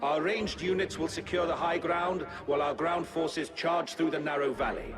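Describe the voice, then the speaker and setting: Man acting as firm battle strategist, fairly high male voice, echoing, dramatic game briefing. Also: steady tone, moderate pace, close to an everyday voice. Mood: determined. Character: resolute military strategist briefing troops before battle. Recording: echoing voice under music.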